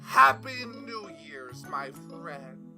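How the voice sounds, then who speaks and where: A woman's voice: low-pitched, big pitch swings, gravelly, bright, forceful tone, soft background music, full character voice. A woman voicing a mischievous, gloating male chimera trickster in a storybook tale, smirking and teasing. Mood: gleeful scheming. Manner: smirking, teasing, drawn-out delivery. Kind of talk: storybook fan fiction character voice.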